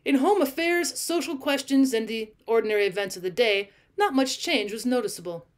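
A woman with a fairly high voice narrates a literary short story. She reads deliberately and expressively, leaning on key phrases as a teacher reading aloud would. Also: animated.

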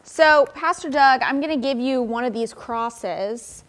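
A young woman pastor speaks to children in a high, bright voice. Her delivery is animated and friendly, with lots of simple questions and encouragement. She sounds like she's in a church sanctuary, picked up by a camera across the room. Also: unhurried. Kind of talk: children's sermon. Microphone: far camera.

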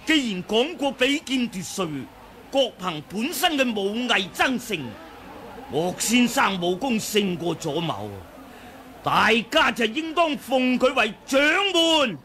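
An actor playing a proud, blinded sword master who shouts defiant challenges, in a 1980 radio drama. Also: male voice, extremely high pitch, expressive, slow pace, slight background noise, full character voice. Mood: solemn. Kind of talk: 1980s radio drama acting. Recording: old radio play with a clamoring crowd.

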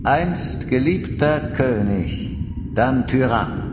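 A man's voice: mid-range voice, lively intonation, slow pace, heavy background noise. A male actor playing an ancient, fatalistic vampire lord who schemes and speaks solemnly and theatrically in a video game cutscene. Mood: brooding, explanatory. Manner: solemn, theatrical, oratorical delivery. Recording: echoey hall reverb, loud music behind.

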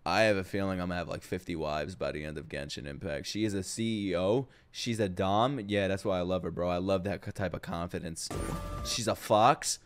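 A man streaming live reactions to game trailers, talking in excitable, slangy, profane casual commentary. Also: deep voice, brisk. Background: game trailer music and voices.

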